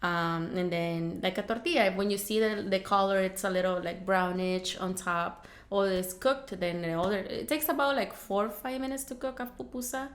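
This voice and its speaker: A woman's voice: medium pitch, steady tone, normal speed, hardly any pauses, reverberant. A woman from El Salvador, a food truck founder explaining her food step by step in a casual interview, with many fillers.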